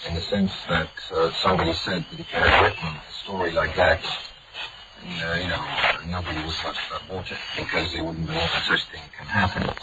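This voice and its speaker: Low-voiced man recapping with many fillers. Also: little pitch movement, moderate pace. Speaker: news commentator. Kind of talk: unscripted commentary. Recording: lo-fi, noisy shortwave.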